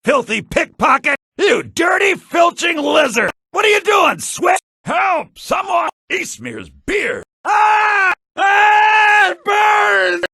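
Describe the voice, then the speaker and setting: A man playing a sly, grasping shopkeeper in a fantasy video game, with a raspy, theatrical delivery, in low-bitrate compressed audio. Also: expressive, slow, hardly any pauses, sharp tone, no echo, shouting, full character voice. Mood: alarmed, angry. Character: sly fantasy male shopkeeper, grasping, quick to yell at thieves. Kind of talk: video game character barks.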